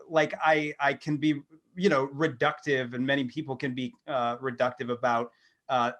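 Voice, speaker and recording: A middle-aged man hosting a casual podcast interview, wordy and halting in how he phrases things. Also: mid-range voice, a bit bright. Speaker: podcast host.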